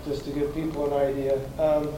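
A man's voice: a little high, little pitch movement, fairly quick, far from the mic, noisy background. American man speaking from across an echoey room. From United States. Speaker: guest speaker. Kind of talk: personal testimony.

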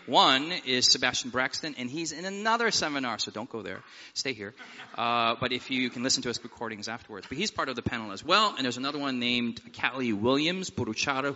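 Male pastor host, very bright sharp voice, long hall reverb, dull lo-fi sound, joking and relaxed. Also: medium pitch. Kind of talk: opening prayer then panel introductions. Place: seminar hall with live audience.

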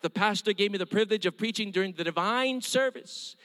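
A man with a Hawaiian accent preaches his testimony with the fairly high voice of an evangelist. He tells his story earnestly, often stopping for an amen. His voice rings with a long reverb through a church hall. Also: fairly quick, fairly bright tone. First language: Hawaiian Pidgin. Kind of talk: testimony sermon.

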